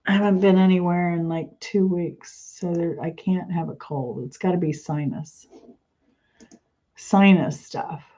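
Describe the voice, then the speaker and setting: Woman host, stuffy and congested, casual rambling chat, low voice, dull lo-fi recording. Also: measured pace, warm tone. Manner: chatty, rambling, trails off mid-sentence. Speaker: channel host.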